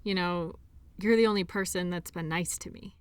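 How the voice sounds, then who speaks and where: A woman acting an earnest, defensive and lonely sports doctor in an intimate audio drama, speaking in an emotional, halting way. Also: lowish voice, smooth, no room sound, lightly acted. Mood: earnest, wistful. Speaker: audio roleplay performer.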